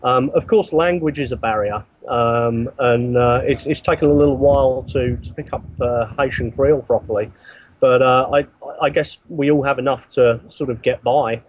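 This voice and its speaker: A man being interviewed as a guest, speaking in a halting way and often repeating his words. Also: mid-range voice, phone-quality sound. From not from the country he works in. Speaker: interview guest, mission builder. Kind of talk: remote interview answers.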